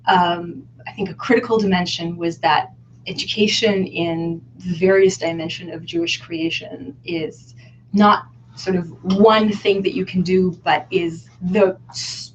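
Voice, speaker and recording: Reflective woman, low voice. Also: a little hiss or hum. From Israel. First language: Hebrew. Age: 30s. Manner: thoughtful, reflective, pauses to search for words. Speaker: guest scholar. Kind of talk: casual interview. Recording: lo-fi online video call.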